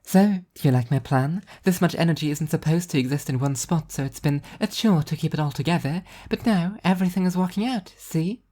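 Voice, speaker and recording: Woman acting a benevolent angel of justice, much higher voice, dignified, self-important, grand yet chatty, storybook reading. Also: a little fast, few pauses, dark tone. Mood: eager.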